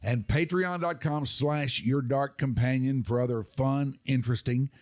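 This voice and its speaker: Mid-pitched man in casual podcast chat. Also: measured pace. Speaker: podcast host.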